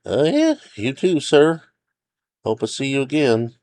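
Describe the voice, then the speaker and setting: Man voicing a jittery, higher-pitched weary forest ranger. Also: huge pitch swings, a little slow, a little mellow. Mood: resigned, helpful. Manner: hesitant, muttering, overly chipper when steering away. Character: weary, wary male forest ranger, hopeful then resigned.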